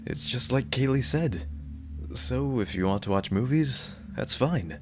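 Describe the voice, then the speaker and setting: A man's voice: mid-range voice, lively intonation, unhurried, natural voice. A man playing a devoted, dorky yandere bodyguard in an ASMR roleplay, earnest and rambling, awkwardly correcting his own slips. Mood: flustered, devoted. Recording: loud music behind the voice. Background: music.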